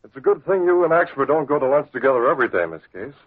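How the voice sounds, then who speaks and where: An actor playing a self-assured young newspaper publisher with dry, bantering delivery in an old radio drama. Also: male voice, mid-range voice, sing-song, quick pace, slightly rough. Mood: wry teasing. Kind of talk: 1940s radio acting. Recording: tinny, band-limited old radio recording.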